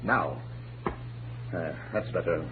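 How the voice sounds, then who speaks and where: An actor playing a cold, haughty murderer with a formal, menacing manner, in 1940s radio noir style. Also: male voice, sits low, takes it slowly. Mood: smug, menacing. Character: cold, smug gentleman murderer holding foes at gunpoint. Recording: noisy, humming lo-fi old radio recording.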